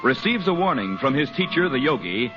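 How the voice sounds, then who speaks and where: An American man announces in formal 1940s radio style, with a showman's deliberate phrasing and widely swinging pitch. The recording is muffled and old, with music clearly playing behind him. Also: sing-song.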